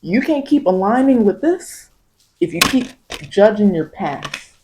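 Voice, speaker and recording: A woman's voice: medium pitch, animated, measured pace, background noise. A woman giving an informal ASMR tarot reading, coaching the listener with emphatic, repeated phrases. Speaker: tarot reader.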